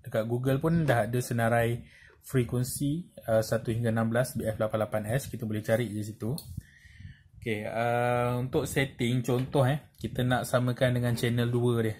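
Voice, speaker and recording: A noisy, clicky amateur recording of a man with a Malaysian accent presenting an informal tutorial. His pitch is medium, and he explains haltingly, one step at a time, often checking that the listener follows. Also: little pitch movement, a little mellow.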